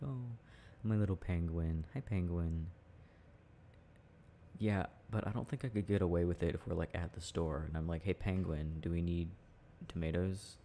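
A young man speaking in a playful, affectionate, halting murmur, like an intimate, casual ASMR boyfriend roleplay, with a deep gravelly voice. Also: dark tone. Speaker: boyfriend roleplay audio performer. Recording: faint background noise.

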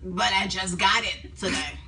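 Young woman, bright voice, casual prank caller, slightly distant mic, faint noise. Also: medium pitch, unhurried. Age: young adult. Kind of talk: casual prank phone calls. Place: small indoor room at home. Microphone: camera a few feet away.

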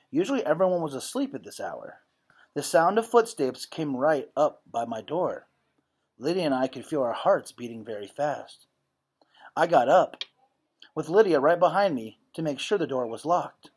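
A man reads a storybook aloud in an expressive way. His voice is a little high for a man and somewhat husky, with lively rises and falls as he tells the story. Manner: expressive storytelling with dramatized dialogue. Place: indoor classroom. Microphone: webcam or laptop at desk distance.

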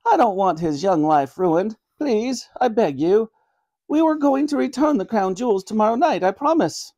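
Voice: mid-range voice, lively intonation, moderate pace, dark tone, voice pushed up, close to an everyday voice. A reader voicing a kindly, apologetic elderly grandmother who speaks earnestly and politely in a children's story. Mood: pleading.

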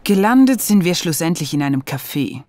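A sardonic, swearing heroine. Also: female voice, on the low side, very animated, a little fast, a little mellow, no room sound, clean studio sound. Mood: wry. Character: young woman, foul-mouthed, sceptical heroine. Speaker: narrator and protagonist.

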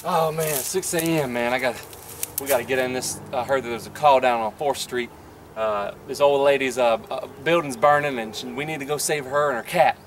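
A man's voice: mid-range voice, brisk. Young man, playful explorer, outdoor junkyard, audible ambient noise. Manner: playful, clowning, guessing out loud. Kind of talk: casual joking banter.

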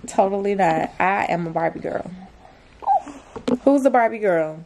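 A young woman speaks in a mid-range voice with a slow, drawn-out, informal delivery. She sounds like a casual home vlogger talking to the camera and her child, with faint noise in the recording. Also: medium pitch, vocal fry. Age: young adult. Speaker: vlogger, mother. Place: small plain room indoors.

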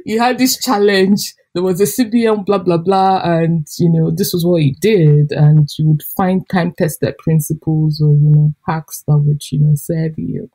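A Nigerian woman with a somewhat low, husky voice, talking as a podcast co-host. Her tone is mellow and dark rather than bright, and she chats expressively, laughing easily. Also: moderate pace, hardly any pauses, low-quality recording. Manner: chatty and expressive, laughs often. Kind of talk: casual book review chat.

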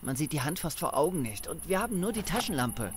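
An actor playing a practical, cautious survivor in a German zombie audio drama. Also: male voice, fairly high pitch, very animated, fast talker, background noise. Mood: impatient.

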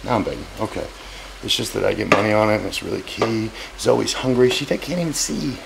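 A man in his forties with a rough, gravelly voice sitting a little low. He hosts a home cooking vlog in a brash, profane, joking way. A frying pan sizzles clearly behind him in the kitchen. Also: animated, fairly quick, very noisy. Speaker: cooking show host.

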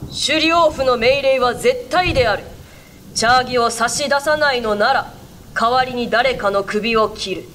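A male actor playing an imperious, threatening samurai envoy, proclaiming loudly in a radio drama. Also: very high voice, a little slow, a little hiss or hum, exaggerated voice. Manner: loud, haughty proclaiming.

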